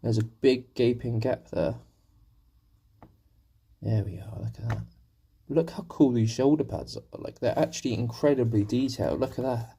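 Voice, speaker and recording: A man's voice: medium pitch, moderate pace, warm tone. A young man with a sore throat, chatting casually to his stream viewers as he paints, rambling and poking fun at himself. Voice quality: gravelly, husky. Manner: rambling, self-deprecating, talking to viewers. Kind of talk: casual live-stream chat while painting.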